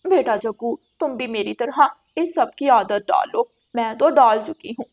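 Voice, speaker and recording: A woman's voice: fairly high pitch, animated, a little slow. A woman voicing a long-suffering crow wife and mother in a moral-story cartoon, plaintive and given to sarcasm. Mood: resigned.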